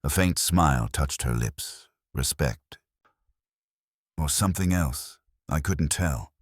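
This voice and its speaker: A man reading a scripted dramatic first-person story as narrator, in a measured, solemn manner. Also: a bass voice, lively intonation, slightly rough, no echo, clean studio sound.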